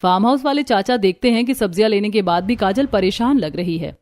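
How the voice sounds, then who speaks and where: Casting: woman narrator for an animated moral tale, medium register, soft music underneath. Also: medium pitch, a little fast. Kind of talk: scripted cartoon story narration.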